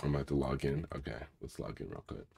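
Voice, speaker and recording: A man hosting a casual live coding session. He is sick, and his naturally low, rumbly voice sounds raspier than usual. He rambles through the work with many fillers and mutters to himself. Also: lively intonation, quick pace, mellow. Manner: rambling, filler-heavy, mutters while clicking around. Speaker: cybersecurity channel host. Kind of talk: casual live coding walkthrough, reading viewer questions.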